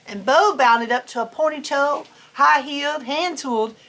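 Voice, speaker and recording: A woman with a high, bright voice reads a children's story aloud. Her delivery is folksy and playful, and she slips easily between narration and casual chat. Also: moderate pace. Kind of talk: animated storybook reading with casual chatty asides.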